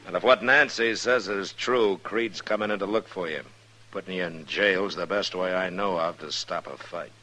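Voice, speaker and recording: American frontier marshal for radio western: low, forceful voice, measured and unflappable. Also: male voice, poor audio. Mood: calm, firm. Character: calm, firm, plain-spoken male frontier marshal.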